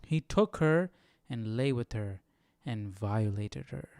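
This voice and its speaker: A man's voice: on the low side, lively intonation, slow, a little mellow, no echo, clean studio sound. A man hosting a live Bible study, reading aloud and musing informally in casual commentary. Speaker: host of a Bible study stream.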